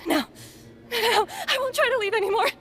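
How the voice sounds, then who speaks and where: A woman voicing a frightened, traumatized young female prisoner in a video game, shrill and trembling. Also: normal speed, fairly bright tone, faint music behind. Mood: terrified.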